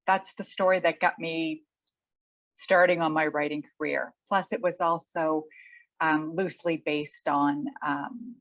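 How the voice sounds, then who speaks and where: A middle-aged woman chatting casually as an interviewed author, thinking aloud in a halting way. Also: low voice, fairly even intonation, a bit bright, no room sound. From Canada. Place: remote online call. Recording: dull, muffled lo-fi audio.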